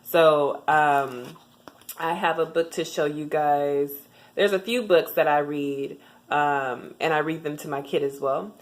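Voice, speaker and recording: A woman's voice: deep voice, takes it slowly. A young adult woman giving a casual tutorial vlog, chatty with frequent ums and restarts. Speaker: vlogger sharing language tips. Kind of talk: casual tutorial talk to camera.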